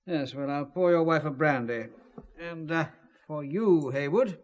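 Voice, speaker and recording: Suave, highish-voiced spymaster played by a man. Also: a little slow, muffled recording, lightly acted. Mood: smooth, evasive. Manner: smooth, genteel, patronising. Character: suave, evasive English intelligence man. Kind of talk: spy thriller audio drama acting.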